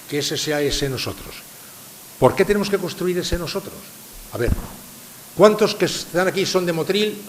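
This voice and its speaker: An older man from San Sebastián, Spain, giving a public lecture to a live audience, asking rhetorical questions and stressing his points. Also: a little high. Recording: reverberant hall with faint hiss.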